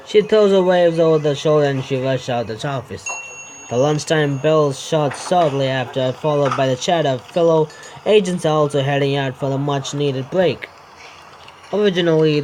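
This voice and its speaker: A man's voice: medium pitch, slight background noise, rough recording. A man hosting a gaming video, reading a visual novel aloud in an informal, stumbling way with self-corrections. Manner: stumbling, informal reader who corrects himself. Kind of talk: gameplay read-aloud narration with asides. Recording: music clearly behind the voice. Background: game background music.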